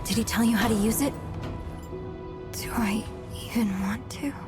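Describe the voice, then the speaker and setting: A woman playing an intense, frightened young heroine in peril in a video game, breathy and urgent. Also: on the high side, normal speed, natural voice. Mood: uneasy awe. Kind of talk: dramatic video game acting. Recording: loud music over the voice.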